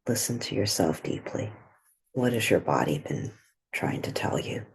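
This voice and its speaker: A woman leading a guided meditation in a gentle, soothing way, with a soft, breathy voice and long pauses between short phrases. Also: rumbling low, animated, slight background noise.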